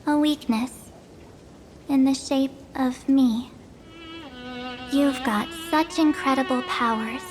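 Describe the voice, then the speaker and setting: Young idealistic heroine, high voice, unhurried, earnest and assertive, video game dialogue. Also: female voice, soft background music, poor audio. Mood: firm, defiant. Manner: earnest, assertive, casual phrasing. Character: earnest, defiant young woman traveler, spirited and idealistic.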